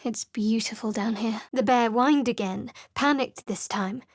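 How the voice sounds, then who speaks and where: A woman's voice: fairly high pitch, unhurried, no echo. An actress voicing a guarded young female cleric in a video game, speaking in a cool, wry, secretive way in a dry studio recording. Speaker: game character. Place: studio booth. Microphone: studio mic.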